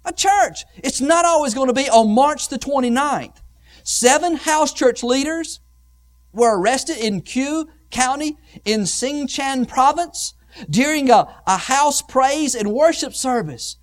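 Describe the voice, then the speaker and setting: A man preaching a sermon in an emphatic oratorical style, with repeated phrases and dramatic pauses, swinging between hushed and loud delivery. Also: very high-pitched, lively intonation.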